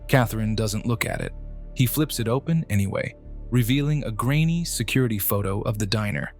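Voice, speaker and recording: A man's voice: on the low side, a little mellow, faint music behind. Male narrator of a horror drama. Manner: measured, broken phrasing with dramatic pauses.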